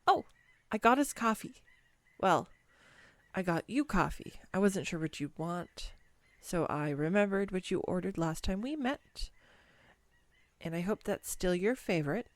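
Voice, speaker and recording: A woman's voice: lowish voice, expressive, measured pace, long pauses, no echo. A woman playing a clumsy, nervous young woman on a first date in an audio roleplay, rambling and self-deprecating. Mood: excited, flustered. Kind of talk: intimate audio roleplay monologue.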